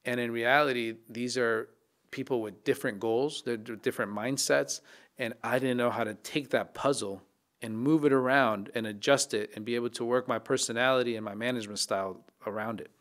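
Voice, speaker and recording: A man's voice: medium pitch, steady tone, fairly quick, fairly bright tone. Guy in his 30s, chef guest on a podcast, thoughtful, keeps restarting, studio mic. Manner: reflective, many restarts. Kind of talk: casual interview talk. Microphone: studio mic on boom arm.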